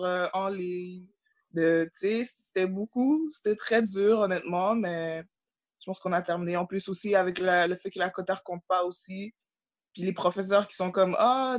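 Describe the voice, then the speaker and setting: A woman's voice: fairly low pitch, no room sound. Young Québécois woman, student guest, candid conversational delivery, dry close-miked sound over a video call. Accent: Québécois French. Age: young adult. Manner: candid, conversational, fillers like "tu sais".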